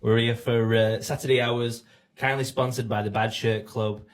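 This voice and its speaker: A man's voice: medium pitch, little pitch movement, normal speed. A man, a band member reading out a sponsor message. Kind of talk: sponsor read.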